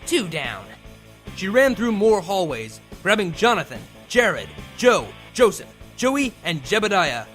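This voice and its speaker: A male narrator with a high voice reads a comedic story aloud. His delivery is theatrical and mock-dramatic, with lively swings in pitch. Soft music plays behind him. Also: measured pace. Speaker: fan fiction reader, channel host.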